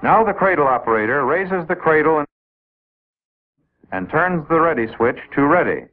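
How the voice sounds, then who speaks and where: A man's voice: fairly high pitch, expressive, moderate pace. Tinny 1950s American training film narrator, male. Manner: clear, methodical, explanatory, addressing trainees directly. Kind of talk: scripted 1950s military instructional film narration. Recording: old 1950s film soundtrack, tinny narrow band.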